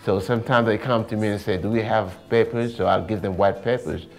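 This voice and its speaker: A man's voice: lowish voice, fairly even intonation, fairly quick, a little mellow, faint music behind. A man with a Senegalese accent talking informally in an interview as an artist, often repeating words and phrases. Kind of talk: casual interview talk.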